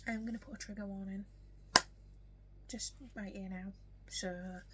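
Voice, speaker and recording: A nineteen-year-old woman with a strong Yorkshire accent, chatting casually to camera in a get-to-know-me vlog, often soft and whispery with lots of ums, hiccuping now and then. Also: mid-range voice, fairly even intonation, measured pace, background noise. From West Yorkshire, England. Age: young adult. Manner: soft, frequently whispery delivery with many ums. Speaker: vlogger.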